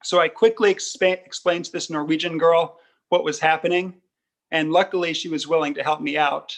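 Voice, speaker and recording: A man with an American accent tells stories about his own experiences in a candid, conversational way. His voice sits fairly high for a man and has a marked creak. He slips in joking asides. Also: fairly even intonation, brisk, fairly bright tone. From United States. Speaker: embassy spokesman and former Arabic teacher, guest. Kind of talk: conversational personal storytelling.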